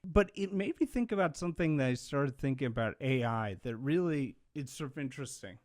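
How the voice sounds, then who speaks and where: A man hosting a casual podcast interview in a mid-range voice. He talks in an animated, rambling way, firing off long questions and swearing casually. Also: medium pitch, fairly quick. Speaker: podcast host.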